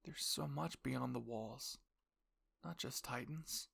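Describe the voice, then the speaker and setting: A man voicing a gentle, earnest teenage boy soldier with big dreams in an anime fan story. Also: mid-range voice, slow, vocal fry, breathy edge, heavily acted. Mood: wistful, dreamy.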